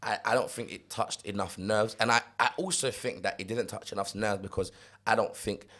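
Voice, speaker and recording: A young man from South London with a London accent, co-hosting a casual podcast chat, animated and repeating words as he gets going. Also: lowish voice, brisk. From South London, England. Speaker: podcast co-host.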